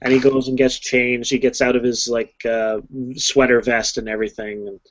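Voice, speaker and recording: A woman casually narrating a tabletop game as game master, halting with fillers. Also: rumbling low, little pitch movement. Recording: dull lo-fi home mic.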